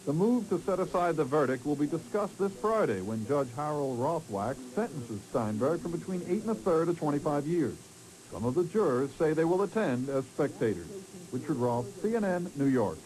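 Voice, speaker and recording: A man's voice: fairly high pitch, animated, moderate pace, darkish tone, poor audio. A man narrating a scripted television news report, on a hissy old broadcast tape.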